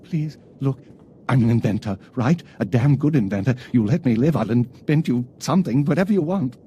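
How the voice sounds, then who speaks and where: An actor playing a nervous, cowardly inventor in a video game, anxious and pleading. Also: male voice, mid-range voice, lively intonation, a little fast, mellow, slightly rough, lo-fi sound. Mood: terrified pleading.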